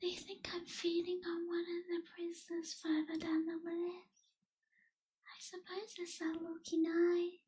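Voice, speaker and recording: A gentle young female vampire, acted by a woman for an ASMR roleplay, with an extremely high, girlish voice that stays soft and breathy. She speaks very slowly and tenderly, slipping toward a whisper, with little rise or fall in her tone. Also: completely flat delivery. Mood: apologetic, confiding. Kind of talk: ASMR roleplay, intimate scripted acting.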